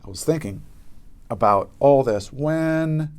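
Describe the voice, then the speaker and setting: A man narrating a children's book aloud in an expressive style with dramatic emphasis. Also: mid-range voice, slow pace, smooth. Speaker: storybook reader.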